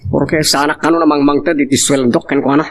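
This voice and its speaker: A man's voice: a little high, fairly even intonation, quick pace, lightly acted. A man playing a defensive, resentful young husband and father in an audio drama, curt and sullen. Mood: defensive, irritated. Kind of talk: Ilocano radio-style drama acting.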